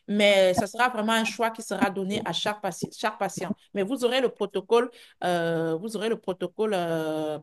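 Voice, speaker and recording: A woman coach answering questions on a group video call, often repeating words and restarting her sentences as she reassures. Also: mid-range voice. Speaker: health and weight-loss coach.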